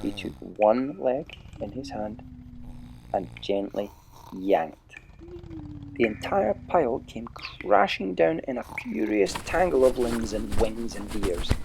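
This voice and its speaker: Slow, gravelly-voiced male storyteller. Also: mid-range voice, a little hiss or hum, low-quality recording. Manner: measured, dramatic storytelling with hushed pauses. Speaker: narrator. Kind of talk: audiobook-style storybook narration.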